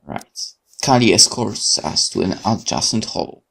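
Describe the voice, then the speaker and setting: A man whose first language is Polish, hosting a let's play and reading a horror visual novel aloud with lively asides and self-corrections. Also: on the low side, unhurried, creaky, slightly rough.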